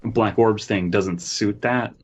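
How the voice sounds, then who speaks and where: Low-voiced man chatting, slightly dull audio. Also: steady tone, takes it slowly, slightly rough. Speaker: podcast co-host. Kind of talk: casual joking discussion.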